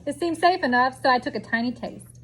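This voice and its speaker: A woman reading a children's picture book aloud in an expressive storytelling way, drawing out words for emphasis. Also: high-pitched, fairly quick, rough recording. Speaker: storybook reader.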